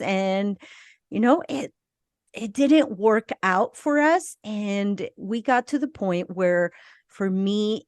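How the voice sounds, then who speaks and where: A middle-aged woman from Argentina with a slight Argentine Spanish accent, telling her life story as a podcast guest in a slow, reflective way. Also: mid-range voice, darkish tone, no room sound, studio quality. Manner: slow, reflective, with small laughs and restarts. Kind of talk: personal life story in interview.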